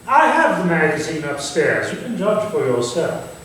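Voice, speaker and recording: A man playing an urbane, theatrical Victorian gentleman with cultivated, deliberate phrasing in a staged reading. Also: on the high side, sing-song. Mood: enthralled. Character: Sir Walter, urbane Victorian gentleman, theatrical, eagerly intrigued. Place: echoey room or small theatre, staged reading. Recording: very echoey, distant stage recording, faint noise.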